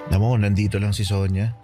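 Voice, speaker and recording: A man playing remorseful friend Makoy. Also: on the low side. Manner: hesitant, apologetic. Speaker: radio drama actor. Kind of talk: Tagalog radio drama acting. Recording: radio drama with background music.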